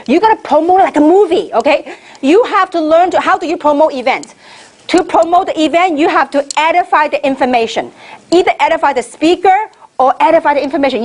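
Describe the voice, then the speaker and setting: High-voiced lady pumping up a training room, lots of questions to the crowd. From Hong Kong. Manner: energetic, rhetorical questions to the audience. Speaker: network marketing leader giving training. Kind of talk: motivational training talk. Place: meeting room with an audience.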